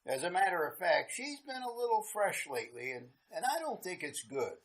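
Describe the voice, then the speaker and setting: A woman's voice: on the low side, sing-song, brisk. A woman playing a concerned husband. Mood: reassuring. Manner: reassuring, probing. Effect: phone call in story. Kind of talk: radio drama acting.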